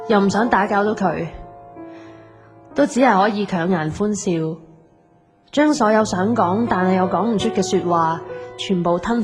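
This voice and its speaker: A woman's voice: medium pitch, natural voice. A woman with a Hong Kong Cantonese accent playing a lovelorn young woman who hides her heartache, narrating her feelings in the first person. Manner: introspective, emotional storytelling. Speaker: narrator and lead character of an audio drama. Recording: music bed under the voice.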